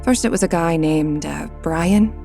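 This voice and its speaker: Casting: worried runaway's mom, middle-aged, lowish voice, worn-down audio drama delivery over music. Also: female voice, a little slow, gravelly, dark tone. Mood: weary distress. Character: weary, troubled middle-aged mother of a runaway. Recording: music under the dialogue.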